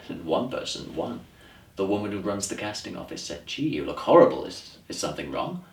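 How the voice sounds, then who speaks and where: Guy acting upset disillusioned theatre man, deep voice, halting emphatic monologue, echoey room, mic far off. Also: sing-song. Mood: incredulous, rueful. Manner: halting, emphatic, repeats words, laughs ruefully. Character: upset, disillusioned New York theatre man, musing and exasperated. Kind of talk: dramatic audition monologue, conversational stage acting. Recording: roomy echo, distant microphone.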